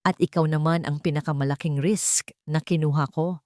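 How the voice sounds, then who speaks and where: A woman voicing a soft-hearted young woman in love in an audio romance, tender and gently teasing. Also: low-pitched, steady tone, moderate pace, no echo, clean studio sound, close to an everyday voice. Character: soft-hearted young woman accepting her beloved's proposal.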